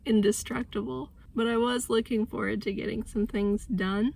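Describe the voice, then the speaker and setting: Woman in her thirties, sick and congested, gravelly fry, rambling casual chat, faint background hum. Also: a little high, steady tone, moderate pace, darkish tone, rough recording. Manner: rambling, thinking aloud with many likes. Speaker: author vlogging her writing process.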